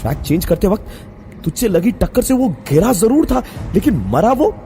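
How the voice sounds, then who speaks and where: Man acting an exasperated, insistent young man, pitched up. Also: very animated, moderate pace, mellow, faint music behind. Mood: exasperated pleading. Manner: agitated, repeats words emphatically. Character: angry, exasperated young male friend, pleading and insistent.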